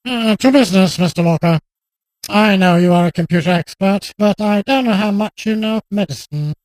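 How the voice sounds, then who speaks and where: A woman acting a grave, frank medical specialist in a radio drama, speaking in a formal, measured and direct way. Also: mid-range voice, darkish tone, no echo, lightly acted, low-quality recording.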